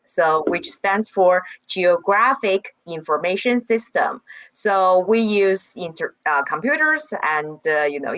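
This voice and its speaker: A woman professor from China with a Chinese accent, introducing herself on a video call in a cheerful, halting way with many fillers and laughs. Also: lowish voice, pushed and bright, no echo, lo-fi sound. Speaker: geography professor. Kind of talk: online workshop introduction.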